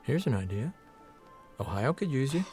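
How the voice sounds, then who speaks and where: An actor playing a concerned newspaper editor and old friend in an audio drama, persuasive, placating and teasing. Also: male voice, medium pitch, sing-song, moderate pace, darkish tone, soft background music, lightly acted. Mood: concerned, pushy. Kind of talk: naturalistic audio drama acting.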